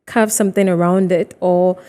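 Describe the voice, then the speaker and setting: A woman's voice: fairly low pitch, steady tone, moderate pace, warm tone, smooth. A woman with a Ghanaian accent hosting a conversational TV interview in a reverberant room. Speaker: TV show host.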